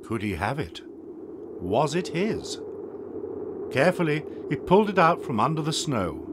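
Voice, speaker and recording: An actor playing the storyteller, giving expressive scripted narration of a children's tale. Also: male voice, on the high side, a little slow, background noise. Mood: expressive storytelling.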